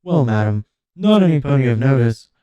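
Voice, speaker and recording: A man voicing a courteous, lonely old robot butler and museum guide in an audiobook story, with smooth, formal, apologetic politeness. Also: mid-range voice, animated, fairly quick, warm tone, dry recording, dull audio, lightly acted. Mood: proud, polite.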